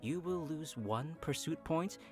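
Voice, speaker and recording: A man hosts a game update broadcast, reading the event rundown from a script. His pitch swings widely and he drops in playful asides. Faint music plays underneath. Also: expressive, a little slow. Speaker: program host.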